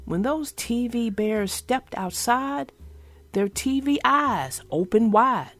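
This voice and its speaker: A woman reading a children's book aloud in an animated, expressive, sing-song way. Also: a little high, slow, faint music behind. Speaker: storyteller.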